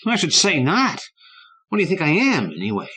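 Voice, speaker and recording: A man's voice: fairly high pitch, wildly swooping pitch, fairly quick, pushed and bright, slightly rough, voice pushed up, heavily acted. A man doing an eager little brother. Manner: eager and wide-eyed. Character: eager little boy, loyal younger brother.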